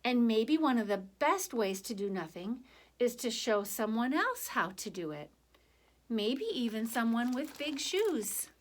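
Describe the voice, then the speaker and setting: Female children's story reader, voice a little high, gentle and expressive, talks directly to kids. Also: unhurried. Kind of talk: picture book read aloud, then informal reflection to children.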